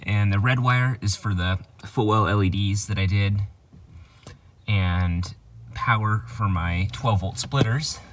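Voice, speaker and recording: Guy with a kinda low voice doing a diy how-to, plain spoken and informal, bit of noise behind him. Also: takes it slowly, a bit bright. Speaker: DIY video host. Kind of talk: casual how-to walkthrough.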